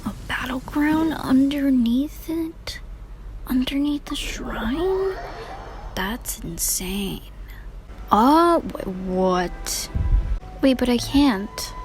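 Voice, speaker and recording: A woman streaming a video game, reacting in a casual, expressive way. Her voice sits a little high and her talk comes slowly, with game music behind it. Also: rough recording. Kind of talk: casual live gameplay commentary.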